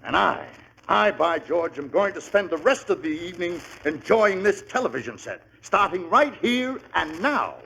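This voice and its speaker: A man's voice: sits high, sing-song, normal speed, gravelly, sharp tone, heavily acted. An actor playing a middle-aged, exasperated American sitcom father in 1940s radio comedy, emphatic and sputtering, on an old noisy recording. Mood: stern, blustering.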